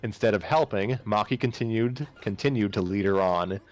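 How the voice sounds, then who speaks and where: A man's voice: lowish voice, moderate pace, soft background music. A man narrating fan fiction aloud as an amateur reader, sometimes stumbling and rereading phrases. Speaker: story narrator.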